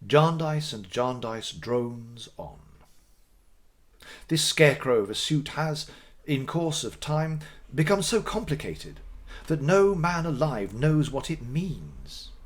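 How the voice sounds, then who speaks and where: Guy narrating an old classic novel, medium pitched voice, dramatic and deliberate, pauses for effect. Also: sing-song. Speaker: audiobook narrator.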